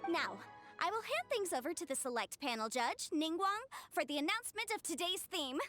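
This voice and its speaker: A showy female host of a cooking contest in a video game. The delivery is theatrical and high, swinging widely in pitch, over faint music. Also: sing-song, fairly quick, bright, forceful tone, dry recording, full character voice. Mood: showy, official. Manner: announcer-like, theatrical. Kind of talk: video game voice acting, live event commentary.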